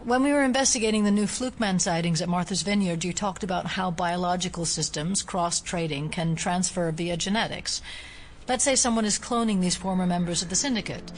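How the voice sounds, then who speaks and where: An actress playing a skeptical, level-headed FBI agent in an audio drama, speaking in a measured, analytical way. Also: female voice, fairly low pitch, slight background noise, close to an everyday voice, poor audio. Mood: analytical, serious.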